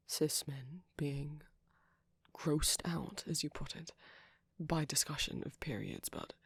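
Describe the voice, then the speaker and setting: A shy young vampire boyfriend, acted by a man speaks in a soft, husky near-whisper with a creaky edge. He is gentle and halting, full of tender apology. The sound is dry and intimate, in the manner of an ASMR roleplay. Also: on the high side, no echo, full character voice. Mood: gentle, reassuring.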